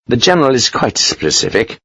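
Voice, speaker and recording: A man voicing a calm, diplomatic Irish army captain with a reasonable, deferential manner and an Irish accent. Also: medium pitch, a little fast, slightly rough, no room sound, heavily acted. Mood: amiable, persuasive.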